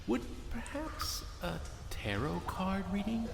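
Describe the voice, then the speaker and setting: An actor playing a mystical soothsayer in a comedy sketch, grand and ominous in manner. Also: male voice, high voice, huge pitch swings, slow pace, vocal fry, full character voice. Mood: portentous. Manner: grand, ominous, drops into casual talk. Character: theatrical mystical male soothsayer in a cave. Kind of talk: comedy audio sketch acting. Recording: echoey cave reverb with faint noise.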